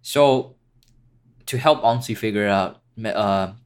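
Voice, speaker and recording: A young man talking as a guest on a casual podcast. He is relaxed and teasing, and he laughs at his own jokes. His voice sits a little low. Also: measured pace. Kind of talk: casual chat.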